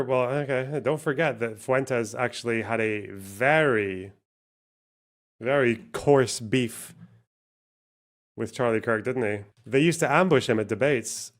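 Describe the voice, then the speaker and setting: A man hosting a livestream, reacting to clips in casual, profane, exasperated talk and laughing easily. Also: mid-range voice. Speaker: streamer host.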